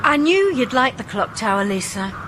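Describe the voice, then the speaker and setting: An actress playing a possessive, quietly commanding female ghost in an intimate radio horror drama. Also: on the high side, very animated, normal speed, background noise. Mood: coolly insistent.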